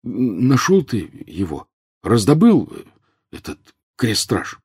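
Male narrator as nervous teen boy, halting, raised pitch, husky edge, storybook character. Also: very animated, a little mellow, dry recording, studio quality, heavily acted. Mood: anxious, hesitant. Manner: halting, hesitant. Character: hesitant, anxious teenage boy, hero's friend.

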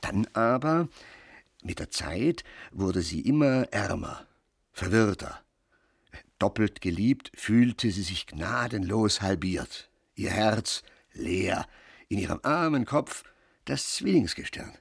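An actor in a literary radio play playing a melancholy, sardonic man who rambles and tells stories in a husky, creaky voice. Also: lowish voice, animated, takes it slowly, no echo, clean studio sound, full character voice. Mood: sardonic storytelling. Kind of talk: literary radio drama acting.

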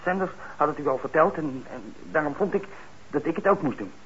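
A man's voice: fairly high pitch, lively intonation, brisk, a bit bright. An actor in a Dutch radio play playing a troubled young man on probation, blunt and hesitant, resentful yet eager. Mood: hesitant, earnest. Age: young adult. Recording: muffled, noisy old radio recording.

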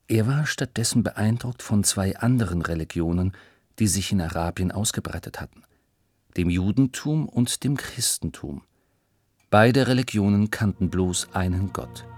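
Low, husky male narrator. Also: a little mellow, no room sound, studio quality. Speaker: narrator of a children's educational audiobook. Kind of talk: scripted explanatory narration for children.

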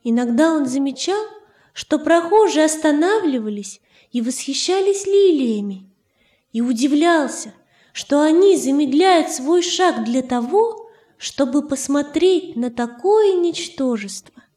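A woman narrating a children's tale in a measured, expressive literary reading. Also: high voice. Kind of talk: scripted storytelling for children. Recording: roomy reverb, faint music behind.

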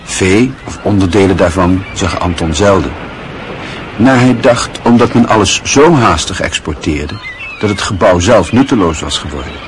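A man narrating a radio play in a measured, even storytelling manner. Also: low-pitched, slight background noise.

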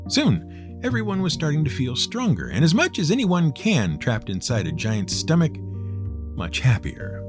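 Male storyteller, wry and theatrical, hugely varied intonation, slightly high pitch, light music. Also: huge pitch swings, normal speed. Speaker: storyteller and show host. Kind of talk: scripted storytelling narration.

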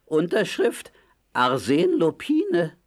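An actor playing a tough, good-hearted sailor hero in a children's audio drama, boastful, muttering and full of exclamations. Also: male voice, on the high side, wildly swooping pitch, slow, background noise, full character voice. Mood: grumbling.

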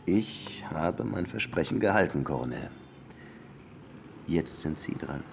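Casting: a world-weary detective in his thirties, very low voice, terse and reflective, radio crime drama narrator and lead. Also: animated, measured pace, creaky, slight background noise, heavily acted. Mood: resolute, weary. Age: 30s. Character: weary 36-year-old Cuban police lieutenant longing to write. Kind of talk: German radio drama acting with first-person narration.

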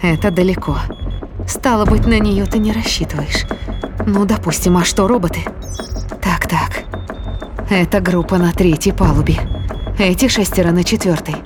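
A woman's voice: on the low side, normal speed, pauses often, natural voice. An actress playing a tense, suspicious woman fighter muttering to herself in an audio drama. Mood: wary, pondering. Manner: muttering questions and remarks to herself. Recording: music playing behind the voice. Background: music.

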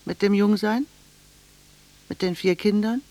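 An actress playing a blunt, wistful middle-aged village cashier in a German radio drama, speaking in a deliberate, intimate way. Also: female voice, medium pitch, steady tone, warm tone, natural voice. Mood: reproachful, sad.

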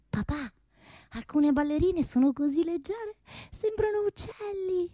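A woman playing a timid, dreamy young daughter, childlike, soft and hesitant, in a theatrical radio comedy. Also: extremely high pitch, takes it slowly, no room sound, studio quality. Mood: dreamy, amused.

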